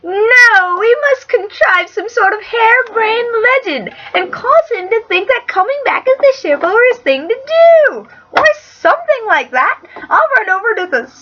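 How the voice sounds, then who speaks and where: A woman voicing an excitable young male hobbit in a strained, shrill voice, earnest and dramatic, for a hobby fan-fiction reading. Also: normal speed, few pauses, lo-fi sound. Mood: scheming.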